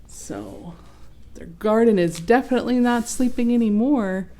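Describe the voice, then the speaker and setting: A woman reading a children's picture book aloud as host, expressive, with explanatory asides. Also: fairly high pitch, a little slow.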